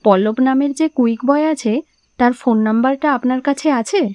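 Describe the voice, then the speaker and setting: A woman's voice: high voice, moderate pace, a little mellow, no echo, studio quality, close to an everyday voice. A woman playing a concerned, inquisitive customer in an audio drama, speaking earnestly. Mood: polite, inquiring. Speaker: voice actor in an audio story. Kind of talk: dramatized audio horror story dialogue.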